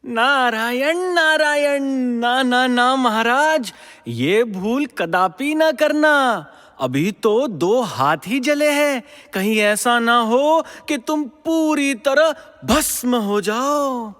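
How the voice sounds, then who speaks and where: High-voiced, teasing celestial sage. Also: male voice, lively intonation, drawn-out words, a bit bright, exaggerated voice. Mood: teasing warning. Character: teasing celestial wandering sage, chanting divine name. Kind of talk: Hindi mythological audio drama acting. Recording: long reverberant hall echo.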